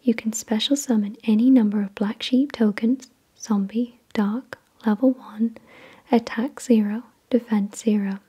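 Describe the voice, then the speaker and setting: A woman hosts ASMR in a very breathy, unvoiced whisper. Her voice is soft and dark in tone, sitting fairly high for a woman. She reads slowly and deliberately, in a soothing manner. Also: steady tone. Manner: slow, deliberate, soothing reading. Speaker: ASMR video host. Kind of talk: whispered ASMR card reading.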